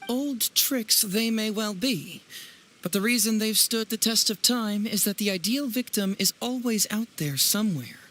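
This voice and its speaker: An actor playing a clever, teasing young male detective and smooth presenter in a video game, speaking in a wry, theatrical way. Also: high-pitched, moderate pace, fairly bright tone, a little hiss or hum. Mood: earnest. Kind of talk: animated video game voice acting.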